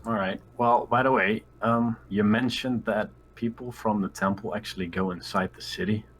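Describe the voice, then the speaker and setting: A man's voice: on the low side, steady tone, normal speed, fairly bright tone. A man playing a tabletop roleplaying game, chatting casually in and out of character. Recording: clear background noise.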